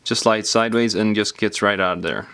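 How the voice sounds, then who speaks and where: Male tutorial presenter, lowish voice, informal and folksy, walking patiently through a hands-on repair step by step. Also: fairly even intonation, fairly quick, fairly bright tone, clean tone. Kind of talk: casual step-by-step hands-on instruction.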